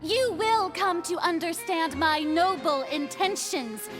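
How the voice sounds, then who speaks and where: A woman's voice: extremely high pitch, takes it slowly, over music. A woman playing a flamboyant, haughty young female Archon in a video game, with grandiose, stagey delivery. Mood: grand, haughty. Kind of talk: theatrical game voice acting.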